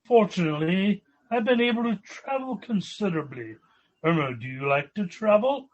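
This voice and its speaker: A man's voice: high voice, lively intonation, moderate pace, muffled recording. A man playing a polite, stuffy, well-to-do young businessman suitor in a 1940s-style radio comedy. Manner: genteel, hesitant.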